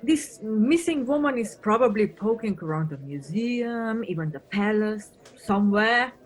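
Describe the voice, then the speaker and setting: A woman's voice: mid-range voice, expressive, measured pace, close to an everyday voice, rough recording. A woman acting in an audio play as an irritable, weary Flemish police officer, dismissive and sardonic. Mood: exasperated, scornful. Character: gruff, irritable female police officer from Flanders, weary, scornful of tourists.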